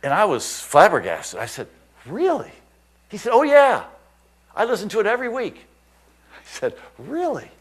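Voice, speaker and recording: A man's voice: high voice, moderate pace, pauses often, husky. A man, a missionary pastor telling stories in a sermon and acting out the voices of the people he quotes. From United States. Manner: animated storyteller, acts out quoted voices, chuckles. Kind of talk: storytelling sermon.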